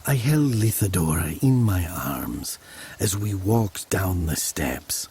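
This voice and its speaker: An actor playing a brooding murderer who narrates his own dark fable in a measured, dramatic manner. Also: low-pitched, animated, vocal fry, slightly rough, exaggerated voice. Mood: somber, haunted. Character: brooding male village murderer recounting his dark tale.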